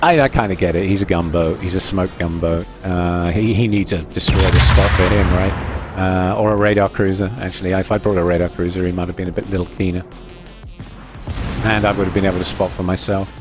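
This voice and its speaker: A man's voice: low voice, little pitch movement, brisk, music in the background. A man giving live, chatty commentary while playing an online naval game, wry and self-deprecating as he thinks aloud. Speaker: gaming video host.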